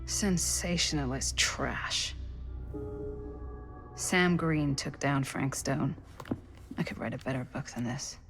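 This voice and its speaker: An actress playing a frank, reflective middle-aged American woman in a video game cutscene, speaking in a measured, plain-spoken way. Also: very deep voice, full character voice. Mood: scornful. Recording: music under the dialogue. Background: background music.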